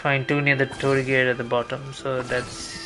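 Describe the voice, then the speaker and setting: A man's voice: medium pitch, little pitch movement, moderate pace. A man giving casual gameplay commentary, thinking aloud as he mutters through each step to himself. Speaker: video game streamer. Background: game music.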